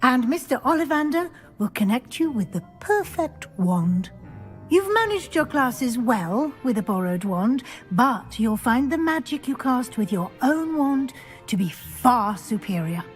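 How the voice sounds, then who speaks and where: A woman playing a brisk, watchful professor at a wizard school in a video game, speaking formally and matter-of-factly. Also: high voice, sing-song, faint music behind. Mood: brisk, probing. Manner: formal, crisp, matter-of-fact. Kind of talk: scripted video game acting.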